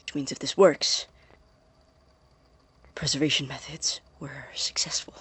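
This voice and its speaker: An obsessive male scientist dictates his experiment log in a husky, mid-pitched voice. His delivery is clinical and precise yet halting, in the manner of a taped audio drama. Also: steady tone, fairly bright tone, in a whisper. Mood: focused, expectant.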